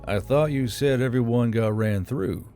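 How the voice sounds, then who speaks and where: Weary male officer, acted by a narrator: gruff, low, clipped lines, fantasy war tale, faint music bed. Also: moderate pace, dark tone, lightly acted. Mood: casual, dry. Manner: terse, low-voiced. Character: gruff, weary, sardonic male military commander.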